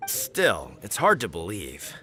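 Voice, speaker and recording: An actor playing a genial young village man in a video game, with expressive, articulate delivery. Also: deep voice, slow, loud music behind the voice, heavily acted. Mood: puzzled.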